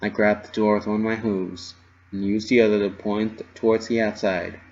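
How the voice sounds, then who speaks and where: A man narrating a first-person fan fiction story with an expressive, dramatic delivery. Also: fairly low pitch, moderate pace, muffled recording.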